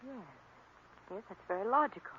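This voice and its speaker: An actress playing a detective's anxious, devoted companion in earnest, theatrical 1940s radio style. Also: female voice, on the high side, animated, fairly quick, bright, forceful tone. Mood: puzzled. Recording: tinny, hissy 1940s radio recording.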